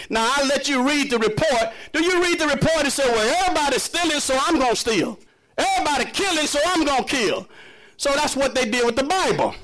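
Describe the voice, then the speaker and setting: A man's voice: very high-pitched, a bit bright, poor audio. A man preaching a sermon in an emphatic, conversational and challenging manner, full of rhetorical questions. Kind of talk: impassioned sermon to a congregation.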